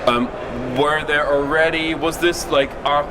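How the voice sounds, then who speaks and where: A man's voice: fairly high pitch, steady tone, a little slow, noisy background. A man interviewing a founder, asking casual questions and chiming in with short acknowledgements.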